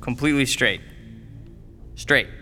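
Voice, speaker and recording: Eager, nervous young guy, bright voice. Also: mid-range voice, measured pace, soft background music. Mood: nervous, ingratiating. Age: young adult. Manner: babbling, ingratiating, repeats himself. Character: eager, nervous young male comedian, half of a couple. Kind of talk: modern audio drama acting.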